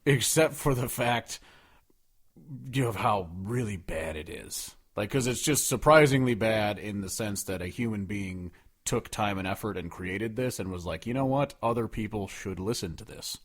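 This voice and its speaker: A man's voice: on the low side, normal speed. A man co-hosting a podcast, ranting casually with plenty of sarcasm and swearing. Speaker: podcast co-host.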